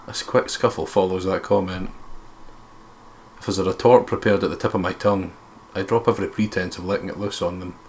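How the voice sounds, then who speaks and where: A man's voice: low-pitched, fairly even intonation, fairly quick, a little mellow, a little hiss or hum. A Scottish man reading visual novel narration aloud as a let's play co-host, with casual asides.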